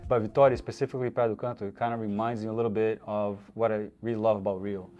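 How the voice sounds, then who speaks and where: A man from Brazil answers interview questions in a relaxed, hesitant way, pausing to find his words. His voice is low, with faint music underneath. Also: lo-fi sound. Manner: hesitant, relaxed, with fillers and restarts. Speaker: interview guest. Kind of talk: casual interview. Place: co-working space.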